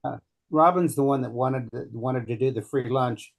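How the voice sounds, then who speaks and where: A man's voice: mid-range voice, normal speed, creaky. A man organizing a local meetup, talking easygoing and a little hesitant in a casual group video call. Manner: easygoing, hesitant, laughs lightly.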